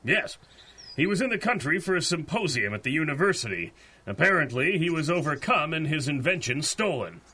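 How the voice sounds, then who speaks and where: An actor playing a formal, cautious US Navy captain in a 1930s-style radio drama. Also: male voice, a little high, very animated, fairly quick, sharp tone, husky, exaggerated voice. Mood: urgent, puzzled. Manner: formal, official, emphatic. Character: grateful but cautious US Navy airship captain.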